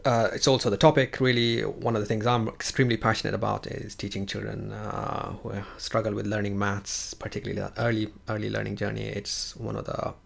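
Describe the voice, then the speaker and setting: A man hosting a live-streamed chat, speaking in a halting way with many fillers and restarts. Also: lowish voice, flat delivery, gravelly. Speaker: livestream host.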